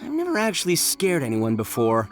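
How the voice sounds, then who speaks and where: A man's voice: fairly high pitch, wildly swooping pitch, a little fast. An actor voicing a brash, goofy young male oni gang boss in a video game, boastful and exuberant. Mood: casual, musing. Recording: background music.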